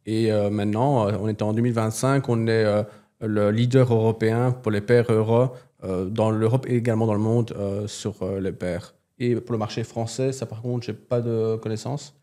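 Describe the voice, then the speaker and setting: A man who is not a native French speaker, a company representative in a business interview, speaking haltingly and searching for words. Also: on the low side, steady tone, dark tone.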